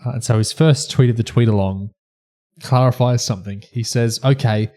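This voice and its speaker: A man's voice: mid-range voice, steady tone, normal speed, mellow. A man podcast host reading out notes and quotes in a presenting style.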